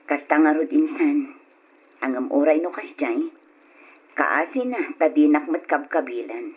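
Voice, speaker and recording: Struggling humble mother, low, heavily husky, old radio drama, dull lo-fi recording. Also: animated, unhurried, darkish tone, full character voice. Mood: downcast. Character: long-suffering grateful mother, struggling wife, humble.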